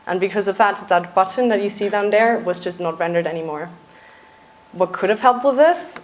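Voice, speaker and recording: A young adult woman from Austria, a software engineer giving a conference talk in a casual, lightly joking way with frequent uhs. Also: medium pitch, normal speed. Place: conference stage.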